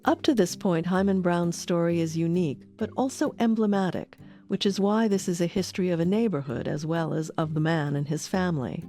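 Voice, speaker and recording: A woman's voice: lowish voice, a little fast, mellow, faint music behind. A woman reading scripted narration as the host of an audio documentary.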